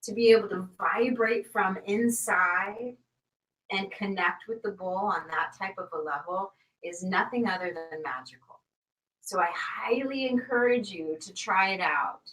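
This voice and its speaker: A woman leads a live online class at an unhurried pace, encouraging and filling pauses with ums and uhs. Her voice sits back from the mic in a roomy space, and it turns soft and slow when she guides. She is recorded in a home studio. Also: medium pitch, distant mic. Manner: soft, slow guiding during the exercise. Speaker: sound healing teacher.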